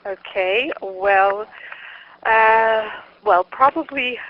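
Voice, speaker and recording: A woman's voice: fairly high pitch, drawn-out words, no room sound. A middle-aged woman from Montreal with a Canadian accent and Czech as her mother tongue, answering interview questions as a researcher in a hesitant, self-correcting, thoughtful way. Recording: dull lo-fi interview audio.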